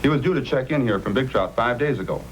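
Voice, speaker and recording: A man's voice: mid-range voice, animated, fast talker, natural voice, poor audio. A man playing a helpful, welcoming frontier police officer in an old-time radio drama, friendly and earnest. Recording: old radio recording, faint noise.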